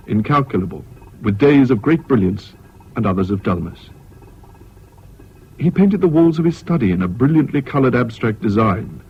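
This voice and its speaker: An actor playing a reflective, educated man who narrates his memories in a measured Received Pronunciation for a radio drama. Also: medium pitch, natural voice. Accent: English Received Pronunciation. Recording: muffled, hissy old radio broadcast.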